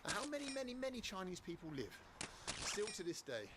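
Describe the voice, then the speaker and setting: A man's voice: high-pitched, wildly swooping pitch, brisk. A man talking casually and joking as he vlogs his travels through streets and a small restaurant. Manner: chatty, joking, tries out broken Mandarin. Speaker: travel vlogger. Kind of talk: casual travel vlog chat. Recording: loud street and restaurant noise. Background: loud background noise.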